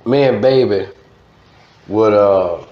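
A man's voice: on the low side, takes it slowly. A middle-aged man with a Louisiana accent chatting casually as an interview guest, slangy and repeating his words, in a dull, roomy studio recording. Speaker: guest, veteran radio DJ.

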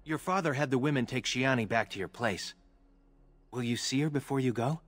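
Kind young elf man played by an actor, fantasy game: mid-pitched, earnest, admiring. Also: fairly quick, lightly acted. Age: young adult. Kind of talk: video game voice acting.

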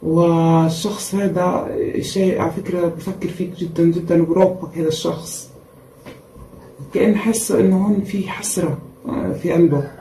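Woman tarot reader, tired voice, emphatic repeats, dark tone, faint room noise. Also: fairly low pitch, fairly even intonation, roomy sound, low-quality recording. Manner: repetitive and emphatic, with frequent pauses and fillers. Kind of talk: casual tarot reading for viewers. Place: indoor room at a table with cards and a candle.

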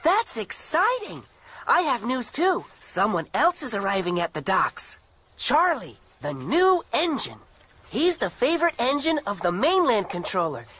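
A woman voicing a chatty female engine from a cartoon, breathy. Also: fairly high pitch, big pitch swings, measured pace, fairly bright tone, full character voice.

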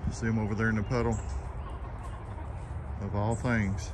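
A man's voice: on the low side, flat delivery, moderate pace. Easygoing Southern man outdoors. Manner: folksy, easygoing, plainspoken. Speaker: homesteading vlogger. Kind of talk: casual narration of backyard chores. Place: outdoors in a backyard garden. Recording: outdoor background noise.

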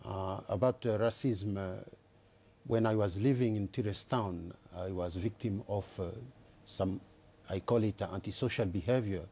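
Older male, Congolese accent, low mellow voice, deliberate and halting delivery, studio interview guest. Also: fairly even intonation, a little hiss or hum. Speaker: election candidate, interview guest. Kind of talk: live TV panel interview. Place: TV news studio, indoors. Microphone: lapel mic.

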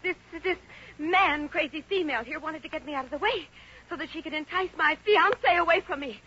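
An actress playing an excitable, jealous, lovestruck spinster, in 1950s radio comedy style. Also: female voice, sits high, a little fast. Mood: indignant. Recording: muffled, noisy 1950s radio recording.

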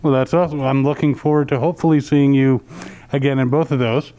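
Guy in his 40s doing an interview, normal mid pitch, a little muffled by a mask. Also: fairly even intonation, fast, warm tone. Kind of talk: conversational interview. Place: small indoor lounge, seated on a couch. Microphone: handheld interview microphone.

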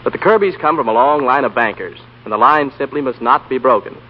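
An actor plays an idealistic, excitable young man in a 1930s radio romance. He talks quickly and earnestly. The broadcast is muffled, with faint hiss. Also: mid-range voice, close to an everyday voice. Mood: earnest, rueful. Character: eager, boyish young banker in love, idealistic.

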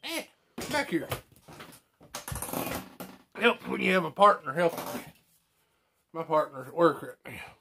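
A man's voice: fairly high pitch, big pitch swings, unhurried, vocal fry, long pauses, pushed and bright. A man in his thirties giving a casual, folksy how-to, muttering to himself as he works indoors, a short distance from the camera. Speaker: DIY host. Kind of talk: casual how-to talk while working. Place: cluttered room indoors at home. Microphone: camera a short distance away. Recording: noisy room with clicking tool sounds.

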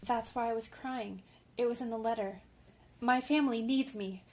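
A woman's voice: on the high side, moderate pace, a little mellow, natural voice. A woman playing an earnest, impulsive young woman writer in an old-time radio drama. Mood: tearful, hesitant.

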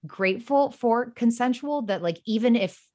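A woman's voice: on the high side, normal speed, dark tone. A young woman guest on a podcast, chatting casually in an effusive, slangy way with lots of "like". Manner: effusive, slangy, peppers talk with "like". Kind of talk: casual chat.

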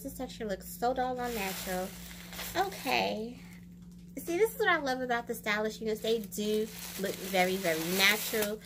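A young American woman chatting casually and expressively to camera in a product review, with drawn-out exclamations. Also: on the high side, background noise. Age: young adult. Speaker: beauty vlogger and wig reviewer. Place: small room indoors.

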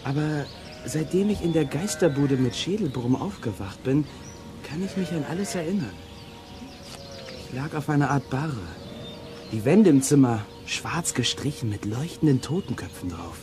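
A man's voice: on the high side, expressive, normal speed, over music, close to an everyday voice. An actor playing a defiant, hot-tempered boy in a children's audio drama, cheeky and emphatic. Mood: excited recounting.

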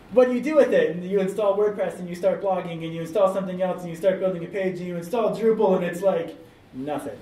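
A man's voice: high voice, darkish tone. A man on a discussion panel giving a thoughtful answer with frequent ums, recorded in a meeting room. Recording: roomy, distant mic, faint noise.

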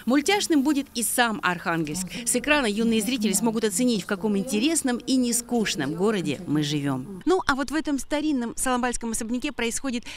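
A woman's voice: fairly high pitch, very animated, fairly quick, hardly any pauses. A woman reading a scripted television news report as its reporter.

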